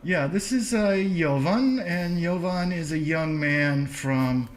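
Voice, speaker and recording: A man chatting casually as a podcast host, telling stories in an animated way. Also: on the high side, slow pace. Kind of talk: casual chat.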